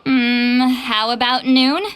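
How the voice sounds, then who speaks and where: A woman's voice: high-pitched, level tone, slow pace, a bit bright, clean tone. A woman voicing a haughty, prickly shaman in a video game, youthful despite great age and easily flustered, speaking in a huffy, theatrical way. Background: game music.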